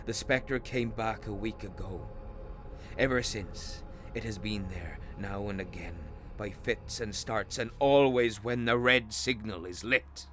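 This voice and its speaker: An actor playing a troubled railway signalman in a radio ghost story, speaking gravely and haltingly with dramatic intensity. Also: on the low side, faint music behind. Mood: haunted, dread. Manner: grave, halting, dramatic storytelling with hushed drops and anguished outbursts. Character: haunted, troubled railway signalman telling of a spectre. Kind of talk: radio play acting of a Victorian ghost story.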